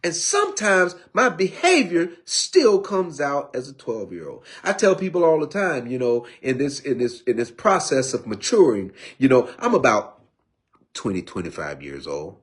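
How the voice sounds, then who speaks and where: A man's voice: mid-range voice, huge pitch swings, normal speed. A man in his fifties giving a streetwise personal testimony, preachy in tone and full of restarts and repeated words. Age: 50s. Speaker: recovery speaker.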